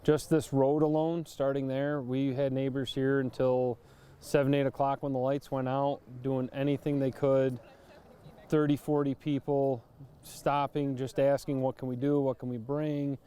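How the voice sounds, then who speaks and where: A man gives an unscripted local news interview. His voice is mellow and very smooth, at a middle pitch. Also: little pitch movement, a little slow.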